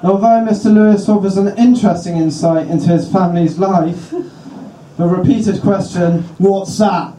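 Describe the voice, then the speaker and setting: A man's voice: high-pitched, steady tone, normal speed, dark tone. A man performing comedy on stage, with a dry, mock-formal reviewer's delivery for a live audience. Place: indoor live comedy venue. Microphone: stage microphone on a stand, heard from afar. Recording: roomy, distant, noisy live stage recording.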